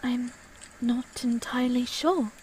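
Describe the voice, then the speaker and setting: A woman plays a genteel young lady in a period audio drama, her voice pitched high. She is tender and sincere, with polite, formal, old-fashioned phrasing. Some noise sits behind her. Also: steady tone, takes it slowly, darkish tone. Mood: hushed, tender.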